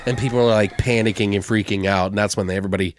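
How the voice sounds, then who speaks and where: A man co-hosting a podcast, casually brainstorming a comedic horror story in a crude, animated, sweary way. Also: on the low side, racing, noisy background. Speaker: podcast co-host.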